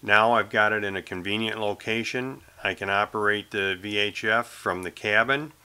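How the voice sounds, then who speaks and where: A man's voice: on the low side, fairly even intonation, moderate pace, pushed and bright, clean tone. A man presenting his own how-to sailing video, narrating in a casual, explanatory way.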